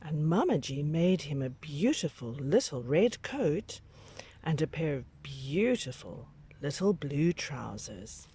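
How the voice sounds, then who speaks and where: A woman narrates a picture book for young children. Her delivery is slow and deliberate, with very lively intonation and a slightly breathy, husky edge to her dramatic phrasing. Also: sits low. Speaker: storyteller reading aloud to young children.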